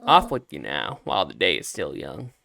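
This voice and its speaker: A man voicing a brisk, businesslike elderly grandmother in a video game, reading the dialogue in a measured way. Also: a bass voice, huge pitch swings, vocal fry, bright, forceful tone, voice pushed down, heavily acted. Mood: brisk, matter-of-fact.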